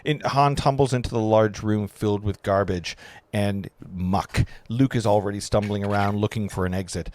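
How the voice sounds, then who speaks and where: A man's voice: on the low side, steady tone, normal speed, a little mellow, no room sound. A man reading stage directions as narrator at a script table read, stumbling over words now and then. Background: clear guitar music.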